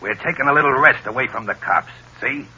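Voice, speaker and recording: An actor playing a tough, bossy American gangster on the run, in old-time radio drama style. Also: male voice, mid-range voice, a little fast, pushed and bright, breathy edge, lo-fi sound, exaggerated voice. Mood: gruff, bossy. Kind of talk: 1930s-40s radio acting.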